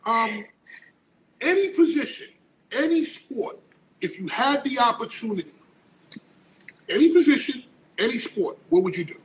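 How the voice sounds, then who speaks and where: Hyped male host w a really high voice, keeps cutting in, bit of hiss behind. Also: moderate pace, long pauses, low-quality recording. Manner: excitable, interjecting, repeats himself. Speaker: podcast host. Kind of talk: casual interview conversation.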